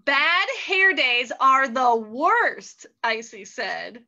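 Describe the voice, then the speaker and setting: A woman's voice: sits high, sing-song, extremely slow, pushed and bright, muffled recording. A woman hosting and narrating improvised children's stories in a theatrical, exclamatory way. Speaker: host and story narrator.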